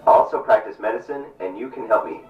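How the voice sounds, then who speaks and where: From far off in an echoey room comes a man acting an earnest, devout missionary doctor from a puppet play. The voice is low and he declaims formally. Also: quick pace, lightly acted. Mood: warm, resolute. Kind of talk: stage puppet show acting. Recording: distant, roomy, muffled stage recording with music.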